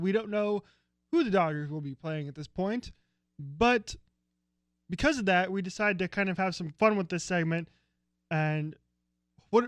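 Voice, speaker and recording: An American man co-hosts a casual sports talk show, opinionated and rambling, grumbling dryly about rivals. His voice sits high for a man and sounds crisp and resonant. The recording is close and dry. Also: dry recording. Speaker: co-host.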